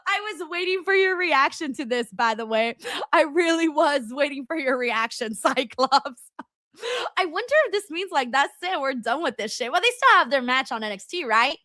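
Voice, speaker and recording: Woman host, high bright voice, loose chatty manner, laughs often, dry close mic. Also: no echo. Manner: talks straight to her viewers. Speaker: live-stream host reading chat. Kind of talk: casual watchalong chat.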